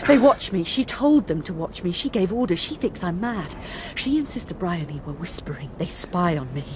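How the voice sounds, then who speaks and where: An actress playing an unstable young nun in a radio drama, intense and feverish, swinging from pleading to paranoid whispers. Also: female voice, fairly low pitch, lively intonation, normal speed, noisy background, natural voice.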